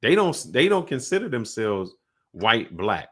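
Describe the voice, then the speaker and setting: A man's voice: medium pitch, very animated, unhurried, husky. A man co-hosting a podcast, explaining his views at length in loose, casual speech. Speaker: podcast co-host. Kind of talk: casual chat.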